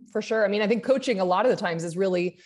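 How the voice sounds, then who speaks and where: A woman's voice: medium pitch, a little mellow. A woman who grew up in Argentina, a guest speaking candidly in a casual interview. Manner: candid, quick, many restarts.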